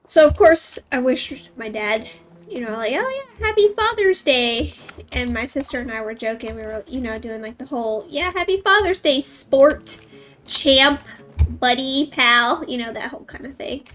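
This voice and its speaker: A woman's voice: sits high, lively intonation, normal speed, fairly bright tone. A woman streaming a game, chatting casually with viewers and making playful asides. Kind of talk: casual live gameplay chat. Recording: faint game music behind.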